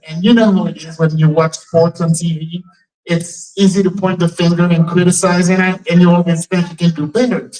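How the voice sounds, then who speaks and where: A man from Haiti, telling a self-mocking personal story to a live audience. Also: fairly high pitch. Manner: conversational, self-mocking, builds to punchlines. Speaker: timekeeper and storyteller. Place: restaurant event room. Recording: distant mic in a live venue.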